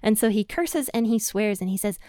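Woman, podcast host, casual Bible teaching, chatty, light laughter, smooth voice, dry close recording. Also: mid-range voice, animated, moderate pace, warm tone, dry recording. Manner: chatty and conversational, with frequent "like" and "and so"; laughs lightly between thoughts.

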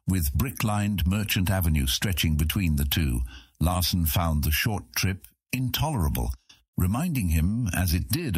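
A man narrating a scripted fantasy audiobook in a measured storytelling manner. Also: low-pitched, husky, no room sound.

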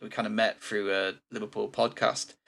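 A man leads a laid-back podcast conversation. His voice sits on the low side, and his rambling delivery is dotted with ums. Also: moderate pace, creaky. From England. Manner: relaxed and rambling, with frequent ums. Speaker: podcast host. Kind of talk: casual podcast chat.

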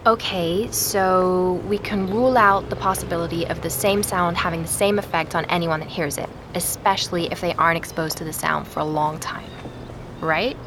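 A woman's voice: on the low side, steady tone, background noise, natural voice. An actress playing a curious young woman podcaster who digs into a mystery, asking eager questions in an audio drama. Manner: eager, inquisitive, quick to question. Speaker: podcast host investigating a story.

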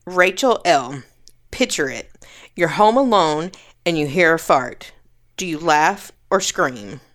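A woman's voice: low-pitched, expressive, takes it slowly, a bit bright. A woman guest on a podcast, reading out listener questions and chatting casually. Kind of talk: casual chat.

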